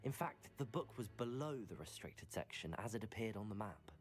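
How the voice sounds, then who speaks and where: A man's voice: lowish voice, big pitch swings, fairly quick, soft background music. A man playing a casual young wizard student in a video game. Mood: matter-of-fact. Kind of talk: scripted video game cutscene acting.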